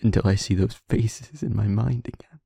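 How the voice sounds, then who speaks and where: A man playing a guilt-ridden werewolf pack leader confiding in a partner in an intimate audio drama, with a halting, heavy, emotionally raw delivery. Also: fairly low pitch, creaky, dark tone, husky, no room sound. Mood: weary, guilt-ridden.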